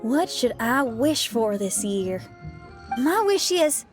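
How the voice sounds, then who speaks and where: A woman's voice: on the high side, very animated, takes it slowly, faint music behind. An actress voicing a bold, frank young female rock musician with a straightforward, easygoing manner in a game. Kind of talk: game voice acting.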